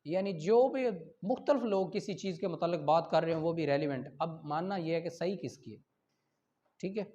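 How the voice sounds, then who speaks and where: A man's voice: fairly high pitch, normal speed, dark tone. Pakistani male law lecturer, dull lo-fi sound. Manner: explanatory, with everyday examples and rhetorical questions. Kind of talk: lecture reading legal articles aloud and explaining them. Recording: dull lo-fi audio.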